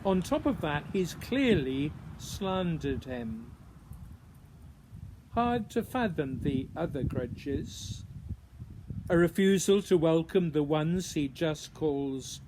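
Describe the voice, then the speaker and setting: Guy reading a poem out loud, real slow and thoughtful, pauses a lot, bit of noise behind him. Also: a little high, animated. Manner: deliberate, pausing between short phrases, musing questions. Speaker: writer reading own poem. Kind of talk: introduced poetry reading, measured recitation.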